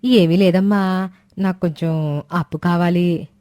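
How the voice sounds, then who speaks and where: Woman playing a sly village auntie, coaxing then snapping, lowish voice, dry close sound. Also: steady tone, measured pace, no room sound, natural voice. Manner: coaxing, then sharp and mocking. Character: scheming sweet-talking village woman, middle-aged. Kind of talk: Telugu storybook voice acting.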